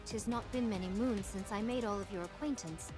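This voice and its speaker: A woman's voice: mid-range voice, fairly quick, close to an everyday voice. A woman playing a proud warrior android who speaks formally and archaically in an anime-style game. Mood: solemn. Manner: formal, archaic, stately diction. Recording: music behind the voice.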